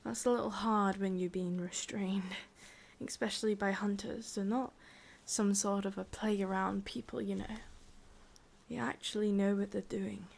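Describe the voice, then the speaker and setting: A woman with an English accent voicing a possessive, sadistic witch in a slow, breathy ASMR roleplay whisper. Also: mid-range voice, fairly even intonation, darkish tone. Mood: bitter, cold. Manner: slow, intimate, teasing. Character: sadistic, possessive witch, softly menacing and doting.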